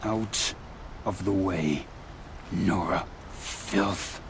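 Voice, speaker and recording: A man's voice: deep voice, drawn-out words, gravelly, slight background noise, heavily acted. A man playing a dying, bitter and arrogant hunting champion in a video game, his speech weak and labored. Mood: contemptuous.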